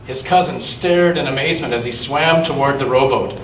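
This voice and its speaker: A man reading a children's story aloud with expression, in measured phrases and with chatty asides to young listeners. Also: medium pitch, a little hiss or hum. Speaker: storyteller at a church gathering. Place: large indoor church room. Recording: roomy church recording, distant mic.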